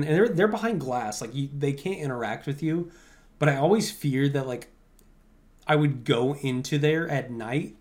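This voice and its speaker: A man's voice: medium pitch, a little mellow. A young man hosting a podcast, talking casually and informally in a rambling way, with "like" sprinkled all through his sentences. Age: young adult. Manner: informal, conversational, heavy use of "like". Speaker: podcast host. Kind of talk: casual rambling podcast talk, answering listener questions.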